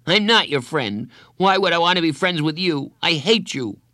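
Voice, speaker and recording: A high, strained schoolboy, acted by a man narrator, stubborn and hurt, whining and shouting his defiance. The delivery swings widely in pitch, as in a storybook reading, and sounds close and dry. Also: lively intonation, normal speed, dry recording. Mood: angry, defiant. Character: upset, stubborn schoolboy feeling betrayed and angry.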